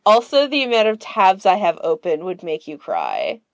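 A woman's voice: lowish voice, huge pitch swings, normal speed, no room sound. A woman co-hosting a casual podcast, joking at her own expense. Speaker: podcast host.

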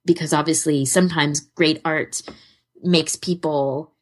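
Low-pitched woman chatting, rambling and amused. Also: fairly even intonation, measured pace. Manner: rambling, self-deprecating, often amused. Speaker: podcast co-host. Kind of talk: casual fan podcast chat.

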